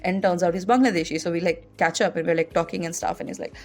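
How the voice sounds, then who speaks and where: A woman's voice: fairly low pitch, fast. A young woman from India with an Indian English accent, hosting a podcast and telling personal stories in a chatty way full of "like" fillers. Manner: chatty, heavy use of "like" fillers. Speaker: podcast host. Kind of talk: casual personal storytelling.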